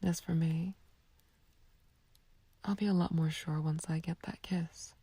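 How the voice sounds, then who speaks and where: A woman playing a flirtatious, taunting villain in an ASMR roleplay, speaking softly and breathily in a teasing, coaxing, languid way. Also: sits low, fairly even intonation, a little slow, no echo, exaggerated voice. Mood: intimate, playful. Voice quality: breathy, whispery, soft. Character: flirtatious, taunting female villain sweet on a captive friend. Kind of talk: ASMR roleplay, intimate scripted acting.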